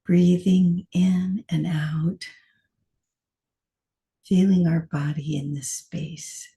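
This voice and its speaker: Very mellow, creaky woman guiding a meditation. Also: fairly low pitch, steady tone. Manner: soft, gentle, short phrases with long pauses. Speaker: meditation teacher. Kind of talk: guided meditation.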